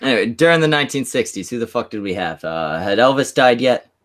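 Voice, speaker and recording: A man from Canada in a crude casual chat, deadpan and halting, mocking himself. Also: medium pitch.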